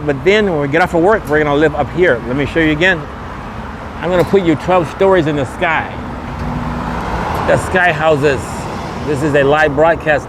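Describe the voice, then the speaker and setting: A man narrating a walking vlog outdoors into a handheld camera, repeating promotional catchphrases as the host. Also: fairly high pitch. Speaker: vlogger and host. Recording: loud outdoor street traffic noise. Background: traffic and vehicle noise.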